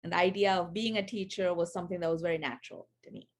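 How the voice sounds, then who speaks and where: A woman's voice: lowish voice, fairly even intonation, fast. A woman in her forties from Sri Lanka, chatting as an interview guest with lots of fillers and restarts. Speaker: guest, AI education founder. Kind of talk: casual interview over video call.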